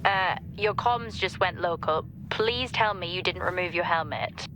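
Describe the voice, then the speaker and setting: A woman playing a practical, teasing crewmate on a comms call in a video game, with a dry, brisk manner. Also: lowish voice, natural voice, rough recording. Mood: concerned, practical. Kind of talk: naturalistic video game acting.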